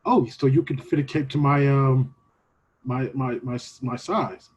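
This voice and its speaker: A man's voice: medium pitch, measured pace, darkish tone, husky, muffled recording. A man playing an earnest, thrifty shop customer in an improvised comedy scene, speaking hesitantly. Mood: curious, earnest. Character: earnest, thrifty male customer wanting an everyday cape.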